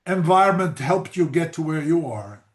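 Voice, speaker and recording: A man hosting a TV interview, asking effusive, halting questions with many "uh"s. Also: fairly high pitch, expressive. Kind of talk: interviewing guests.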